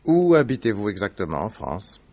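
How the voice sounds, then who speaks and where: An actor playing a sharp, probing male detective in a vintage radio drama, asking crisp, pointed questions. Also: fairly low pitch, very animated, moderate pace. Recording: dull lo-fi vintage radio recording.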